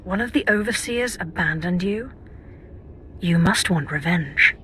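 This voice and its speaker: A woman's voice: on the low side, animated, measured pace, bright, forceful tone, a little hiss or hum. An actress voicing a cool, confident female Sith warrior in a video game, speaking tersely. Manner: cool, terse, slightly dry.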